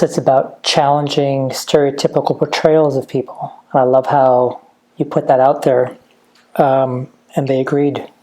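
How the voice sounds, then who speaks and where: A woman interviewer with a low, mellow voice. She talks in a chatty, appreciative way, speaking easily to her guest. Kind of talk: conversational interview host. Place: heritage museum.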